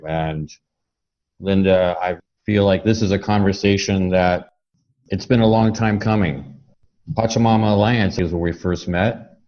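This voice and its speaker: Male podcast host, lower register, conversational delivery, slightly lo-fi sound. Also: darkish tone. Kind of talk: podcast introduction and conversational interview.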